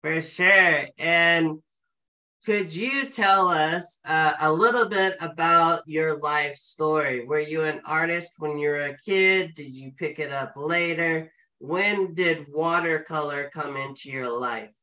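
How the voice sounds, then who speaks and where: Guy in his 40s hosting an art channel, eager, quick with lots of uhs, webcam sound. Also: on the high side, sharp tone. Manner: enthusiastic, quick, with fillers. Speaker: host. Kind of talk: upbeat show intro and interview. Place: indoor room, video call from a home studio. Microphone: webcam on video call.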